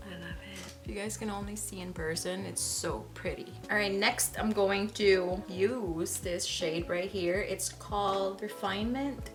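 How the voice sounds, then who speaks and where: A woman reviewing makeup and talking through a tutorial, soft-spoken and gushing about the products. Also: mid-range voice. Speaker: beauty vlogger. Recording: background music under voice. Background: music.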